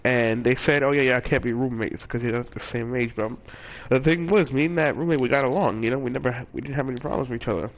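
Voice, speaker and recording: Young man, casual storytelling, rambling, lots of fillers, middle pitch. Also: fast talker, hardly any pauses, rough recording. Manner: rambling, with frequent fillers and self-corrections. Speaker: vlogger.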